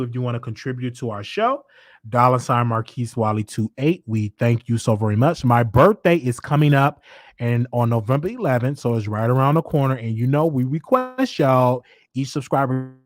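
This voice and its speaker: A man's voice: mid-range voice, no echo, studio quality. A man in his thirties with a Southern US accent, casually chatting with viewers as host of a live stream, laughing often and repeating himself. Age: 30s.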